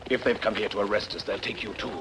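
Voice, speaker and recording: A man's voice: on the low side, fairly even intonation, fast talker, natural voice. A man playing a hearty, loyal rebel tavern keeper in a noisy film dub. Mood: defiant. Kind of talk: dubbed costume-adventure film acting. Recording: noisy old film soundtrack.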